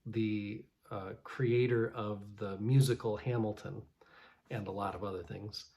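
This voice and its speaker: A man's voice: fairly low pitch, steady tone, a little slow, darkish tone. A man giving a casual devotional reflection as a pastor, talking loosely with many restarts. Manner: conversational, many restarts and fillers.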